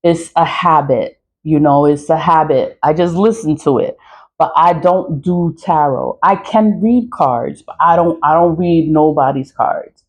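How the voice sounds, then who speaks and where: A woman's voice: sits low, unhurried, darkish tone. A woman in her mid-forties ranting casually to her followers, blunt and profane, laughing often between points. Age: 40s. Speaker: social media creator. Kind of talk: casual vlog rant.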